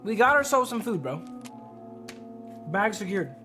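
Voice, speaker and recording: A man streams a game and talks casually to his viewers. His talk is slangy and sweary, and music plays clearly behind him. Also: sits high, sing-song, a little slow. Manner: slangy, profane, addresses viewers. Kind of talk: casual live-stream chat and read-aloud of a visual novel. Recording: music under the voice.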